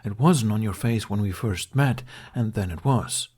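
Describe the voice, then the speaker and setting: A man's voice: lowish voice, moderate pace, darkish tone, husky. A man voicing a cheerful male dragon companion in an audiobook, teasing and urging in a smug, eager manner. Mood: playful insistence.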